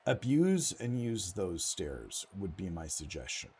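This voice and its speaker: A hoarse, sick man giving a casual gameplay walkthrough, halting with lots of ums as he saves his voice. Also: low voice, big pitch swings, a little mellow, slight background noise. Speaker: game commentator.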